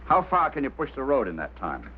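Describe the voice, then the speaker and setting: An actor playing a brusque, impatient, skeptical mining company boss, commanding and dismissive, in mid-century film drama style. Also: male voice, mid-range voice, huge pitch swings, fairly quick, narrow, tinny audio. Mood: demanding. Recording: thin, noisy old film soundtrack.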